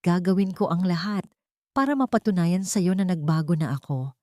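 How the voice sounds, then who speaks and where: A woman narrator voices an earnest, protective man in a romantic drama story. The delivery is direct and insistent, in a lowish voice with a very dry sound. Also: moderate pace, warm tone, no room sound, close to an everyday voice. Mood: pleading. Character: earnest, protective adult man, a repentant father.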